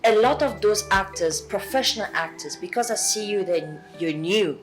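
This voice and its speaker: A woman from England with a Southwest English accent, a guest actress speaking earnestly in a casual chat, often halting and restarting. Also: mid-range voice, faint music behind.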